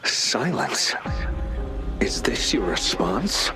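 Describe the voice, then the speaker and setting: An actor playing a ruthless, war-weary general in a dramatic video game monologue, speaking deliberately and intensely, with pauses. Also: male voice, medium pitch, big pitch swings, music in the background. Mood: bitter, accusing.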